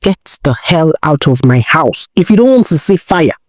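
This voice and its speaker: A woman's voice: low voice, very animated, normal speed, darkish tone, no echo, clean studio sound. A woman storyteller voicing a betrayed, defiant wife with a Nigerian accent, scolding emphatically. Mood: furious, threatening.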